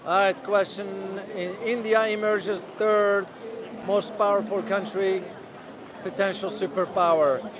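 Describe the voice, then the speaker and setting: A man vlogging as he walks a city street outdoors, talking loudly and passionately while answering viewers' questions. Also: high-pitched, fairly even intonation, a little slow. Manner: loud and passionate. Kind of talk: casual walking vlog answering live questions. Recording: crowded outdoor street noise. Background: street crowd.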